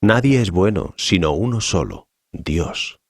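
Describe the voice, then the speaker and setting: An actor playing a solemn, authoritative male teacher in a dramatized scripture reading, speaking in a measured, didactic way. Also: low voice, expressive, dry recording, clean studio sound, full character voice. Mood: solemn authority.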